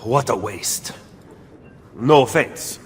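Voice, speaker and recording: A man's voice: mid-range voice, animated, slow, long reverb tail, a little hiss or hum. A man playing a shrewd, skeptical Renaissance political ally in a video game. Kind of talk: video game cutscene acting.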